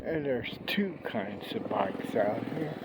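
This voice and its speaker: A man with a gravelly voice from a stroke, talking slowly and haltingly in a casual vlog while out walking, recorded on a handheld phone. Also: mid-range voice, expressive, very noisy. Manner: rambling, many pauses and restarts. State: recovering from a stroke, slow effortful speech. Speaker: vlogger. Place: outdoors on a walk.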